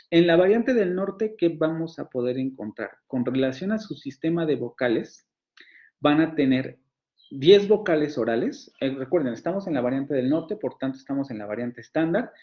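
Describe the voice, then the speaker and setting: A man with a Mexican Spanish accent teaching a university phonetics class online, his voice at a middling pitch. He explains step by step, pausing on fillers, restarting phrases and checking in with rhetorical questions. The sound is dull and lo-fi. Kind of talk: online lecture.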